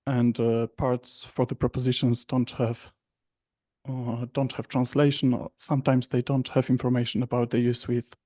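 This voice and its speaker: A man joining remotely to ask a careful, halting question, with many pauses and fillers. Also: medium pitch, flat delivery, dark tone, no room sound, studio quality. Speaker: online questioner. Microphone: remote call mic.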